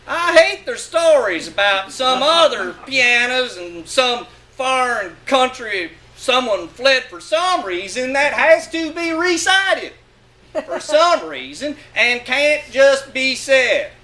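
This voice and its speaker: A man's voice: very high-pitched, big pitch swings, slow pace, bright, forceful tone. A man giving a dramatic, sardonic and emphatic reading of a literary monologue. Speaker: reader of a short story.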